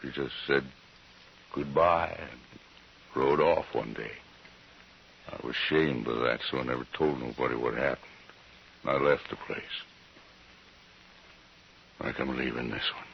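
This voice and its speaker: An actor playing a gaunt, grieving frontier homesteader in an old radio western, speaking plainly and haltingly with resigned weariness. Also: male voice, rumbling low, steady tone, creaky, full character voice. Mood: bitter resignation. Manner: plain rustic speech, halting, subdued. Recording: dull, hissy old radio recording.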